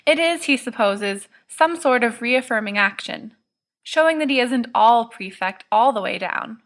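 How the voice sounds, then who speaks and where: A woman's voice: fairly high pitch, expressive, measured pace, a bit bright. A woman reading scripted story narration as an audiobook reader.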